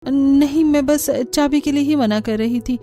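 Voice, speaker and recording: A woman's voice: high voice, a little slow, warm tone, faint music behind, voice pushed up. A woman voicing an earnest, uneasy but firm young daughter-in-law in a cartoon. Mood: hesitant, uneasy. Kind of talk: cartoon voice acting.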